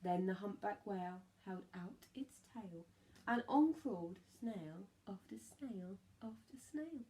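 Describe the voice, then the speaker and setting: A woman narrating a rhyming children's story like a primary teacher, expressive and emphatic on key words. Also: medium pitch, takes it slowly.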